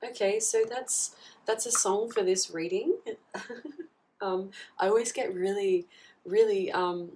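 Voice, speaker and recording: A woman with a husky voice from a recent flu, giving a casual tarot reading and often checking in with "okay?". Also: medium pitch, steady tone, a little slow, warm tone. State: husky, getting over a flu. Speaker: tarot reader. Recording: slightly distant mic, faint background noise.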